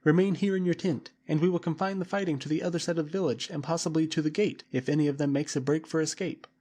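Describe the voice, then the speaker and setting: A man voicing a scheming camp leader who gives smooth, commanding orders. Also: on the high side, steady tone, fast, dark tone, pitched above the performer's own voice, lightly acted.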